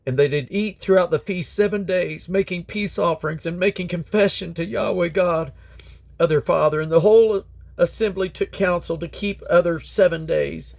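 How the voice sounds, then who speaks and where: A man's voice: sits high, moderate pace, creaky, a little mellow. A man reading scripture aloud as a Bible reader, in a solemn, declamatory manner.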